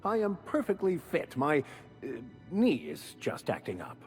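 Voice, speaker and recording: A pompous older gentleman. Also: fairly high pitch, wildly swooping pitch, a little slow, long reverb tail, soft background music. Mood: indignant. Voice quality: pompous, formal. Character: proud older male companion, touchy about age. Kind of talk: video game voice acting.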